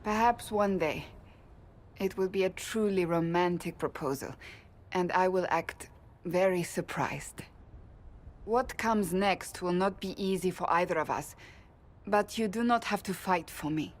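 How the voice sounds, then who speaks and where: An actress playing a stern, blunt warrior woman with a romantic streak in a video game scene, speaking earnestly and deliberately. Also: lowish voice, close to an everyday voice. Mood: tender, resolute. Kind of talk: video game cutscene acting.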